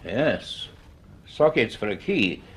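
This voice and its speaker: A man playing a grave, curious middle-aged university professor in a radio drama, speaking in a measured, formal, authoritative way. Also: fairly low pitch, big pitch swings, fairly bright tone, breathy edge, full character voice. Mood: intrigued, grave. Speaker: actor and narrator in a radio drama. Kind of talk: radio drama acting and first-person narration.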